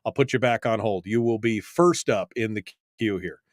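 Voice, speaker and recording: A man's voice: lowish voice, moderate pace, no room sound, clean studio sound. An American man hosting an opinionated call-in radio show, speaking bluntly and emphatically and repeating points for stress. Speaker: radio talk show host.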